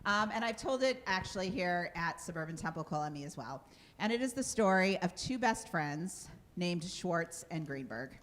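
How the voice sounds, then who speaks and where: A woman rabbi telling a story to her congregation in a conversational, animated way. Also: lowish voice. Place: synagogue sanctuary.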